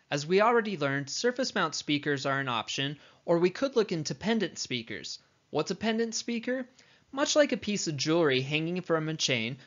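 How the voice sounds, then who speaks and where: A man with a somewhat high-pitched voice narrates a scripted training video. He explains step by step like an instructor, conversationally posing questions and answering them. The voice-over sounds slightly dull and lo-fi. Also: a bit bright.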